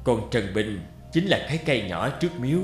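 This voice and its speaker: A man voicing a wise old deity in an animated fairy tale, solemn, measured and lecturing, stern yet compassionate. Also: mid-range voice, close to an everyday voice. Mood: wise, admonishing. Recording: roomy echo over guitar music.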